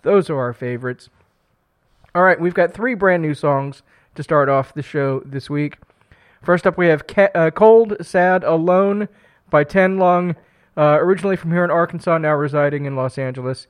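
A man's voice: on the high side, normal speed, smooth. A man hosting a community radio music show, chatty and upbeat, fighting a cold. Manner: upbeat, chatty, self-deprecating, with fillers and restarts. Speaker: radio host. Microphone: studio broadcast microphone.

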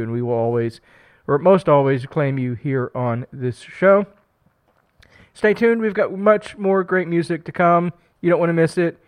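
A man's voice: a little high, clean tone. Upbeat radio host man with a cold. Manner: upbeat, chatty, self-deprecating, with fillers and restarts. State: fighting a cold. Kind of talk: community radio show hosting. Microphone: studio broadcast microphone.

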